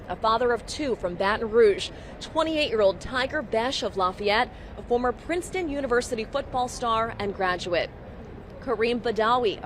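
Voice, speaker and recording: Woman TV reporter live on a noisy street. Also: fairly high pitch. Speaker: TV news reporter. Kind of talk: live field news report. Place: outdoors on a city street. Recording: outdoor street noise, traffic.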